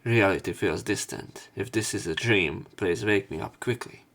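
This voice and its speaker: Male let's player, lowish voice, dramatic read-aloud of game text plus offhand remarks. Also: normal speed, fairly bright tone. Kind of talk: read-aloud game narration with casual commentary.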